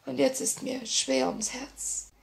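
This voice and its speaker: An actress playing a grieving but resolute young revolutionary in a 1950s German radio play, solemn and theatrical. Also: female voice, sits high, flat delivery, slow pace, vocal fry, exaggerated voice. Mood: weary, determined. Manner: theatrical, solemn, impassioned delivery. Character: grieving, resolute young woman revolutionary, torn between love and duty.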